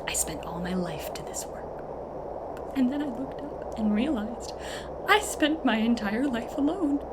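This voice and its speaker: High, slow, anguished woman played by an actress. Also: expressive. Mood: grieving. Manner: slow, repetitive, theatrical lamenting. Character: anguished, lonely woman mourning a wasted life. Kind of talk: audio drama acting. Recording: clear background noise and ambience.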